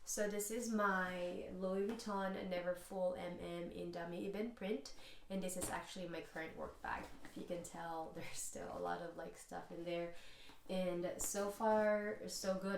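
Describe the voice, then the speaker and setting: A congested woman with a nasal voice chatting casually and a little haltingly to her channel's viewers, as she gets over a mild illness. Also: on the low side. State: congested, recovering from mild sickness. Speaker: vlogger, channel host. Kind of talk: casual handbag collection video chat. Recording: echoey room with hiss and clicks.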